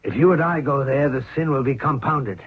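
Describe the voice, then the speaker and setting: Casting: man as calculating, cold court minister, very rough husky mid-range voice, thin old-radio sound. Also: mid-range voice, brisk, highs cut off, lightly acted. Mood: guarded. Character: cold, scheming prime minister, father of the queen. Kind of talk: 1970s radio drama acting. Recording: thin, band-limited vintage radio recording.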